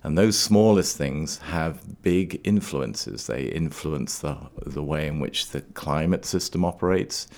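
A middle-aged man from Trinidad who later lived in Scotland, explaining things as an interview guest in a measured, articulate way. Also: very deep voice, darkish tone. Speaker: research laboratory director, interview guest.

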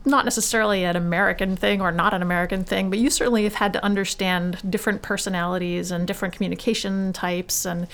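A woman interviewing two authors, asking measured, gently probing questions. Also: medium pitch, steady tone.

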